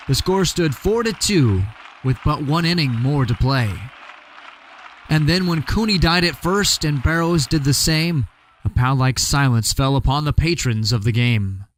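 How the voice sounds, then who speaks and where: A man giving a dramatic recitation of a poem, with big swings in pitch and pace and bursts of shouting. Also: unhurried, a little hiss or hum. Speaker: poetry reader.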